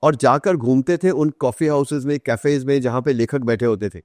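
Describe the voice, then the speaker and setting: A man's voice: mid-range voice, moderate pace, darkish tone, no echo, clean studio sound. A man with an Indian accent explains and tells anecdotes in conversation as an interview guest, emphatically, repeating phrases, asking rhetorical questions and slipping into English. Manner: mixes Hindi with English phrases.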